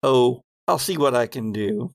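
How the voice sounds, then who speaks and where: Man voicing smug company military commander, sci-fi tabletop game, evasive, hedging, condescending, creaky. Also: medium pitch, expressive, unhurried, no room sound, clean studio sound. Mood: placating. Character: evasive male military commander serving the company, smugly dismissive.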